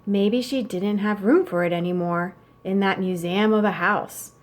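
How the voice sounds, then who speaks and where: A woman's voice: mid-range voice, normal speed, close to an everyday voice. A woman voicing a young boy in a children's storybook, a blunt, money-minded and eager little brother. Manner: blunt, matter-of-fact.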